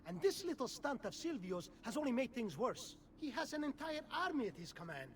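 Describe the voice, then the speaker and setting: A man's voice: extremely high pitch, big pitch swings, a little fast, far from the mic, slight background noise. An actor voicing a proud, shrewd older nobleman who speaks in a haughty, clipped manner.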